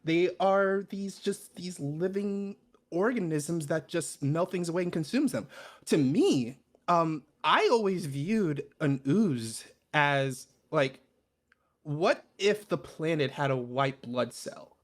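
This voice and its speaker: A man's voice: fairly high pitch, lively intonation, slow. A man appearing as a podcast guest, explaining things in a casual, rambling way full of asides. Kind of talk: casual chat.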